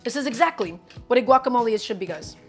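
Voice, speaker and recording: Woman streamer, chatty cooking host, slightly high voice, soft music in the background. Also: lively intonation, fast talker. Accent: her own distinct accent, not Brooklyn. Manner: upbeat, talks chat through each step, says "guys" and "okay" often.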